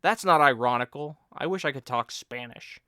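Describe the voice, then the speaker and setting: A man voicing a lazy, joking friend who teases and mock-lectures in a loud, raised voice. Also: medium pitch, normal speed, dry recording, clean studio sound, lightly acted. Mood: mock lecturing.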